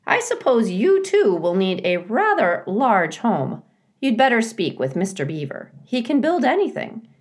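A woman's voice: medium pitch, very animated, measured pace, voice pushed up. A woman voicing a blunt, fussy, motherly female goose in a children's storybook, with squawking, emphatic, opinionated delivery. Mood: bossy. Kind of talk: children's storybook character reading.

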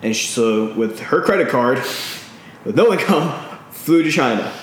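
A man's voice: mid-range voice, lively intonation, moderate pace. A man co-hosting a casual podcast, retelling a film at length with lots of ums and restarts. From California. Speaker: podcast co-host. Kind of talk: casual chat. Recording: very echoey room with faint noise.

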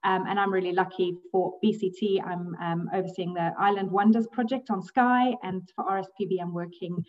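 A woman's voice: lowish voice, steady tone, a little fast, far from the mic, lo-fi sound. A woman, a guest in a casual interview, talking hesitantly with many ums and easy laughs. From grew up in South Africa. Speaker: guest, bat conservationist.

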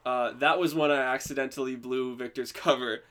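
A man's voice: mid-range voice, steady tone, a little fast, fairly bright tone. A man hosting a casual podcast, telling a story with frequent laughs and restarts. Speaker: podcast host. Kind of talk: casual chat.

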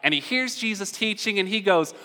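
Lively, bright-voiced pastor preaching in a hall. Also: male voice, high voice, a little fast. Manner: conversational, asks the audience questions. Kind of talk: sermon. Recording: long hall reverb.

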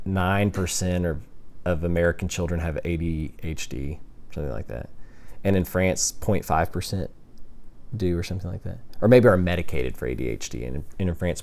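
A man's voice: low-pitched, a little mellow. A young man teaching a class, talking casually, thinking aloud with restarts and questions to the students. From Texas. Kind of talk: classroom lecture.

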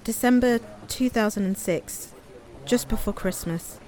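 An actress playing an earnest, guilt-ridden sister in an audio drama, plain-spoken, confiding and persistent. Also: female voice, fairly high pitch, measured pace, crowd noise, close to an everyday voice. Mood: anxious, persistent.

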